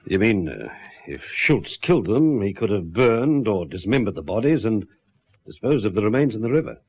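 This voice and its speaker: An actor playing a firm, brisk, authoritative Australian police detective in a radio drama. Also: male voice, on the low side, sing-song, husky, heavily acted. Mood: determined. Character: determined, hard-nosed Australian police detective. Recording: dull lo-fi radio recording.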